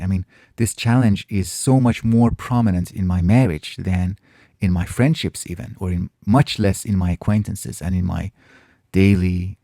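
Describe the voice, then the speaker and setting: Host, male, Farsi native, low and gravelly, mellow, reflective and halting, dry close sound. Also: no room sound. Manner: thoughtful, pausing often and restarting phrases. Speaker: channel host answering viewer questions. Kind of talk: casual reflective Q&A monologue.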